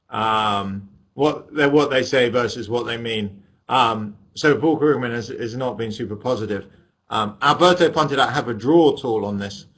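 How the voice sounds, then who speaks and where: A man's voice: medium pitch, a bit bright. A middle-aged Englishman giving an economics lecture over a webcam, chatty and self-deprecating, with joking asides. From England. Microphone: webcam microphone.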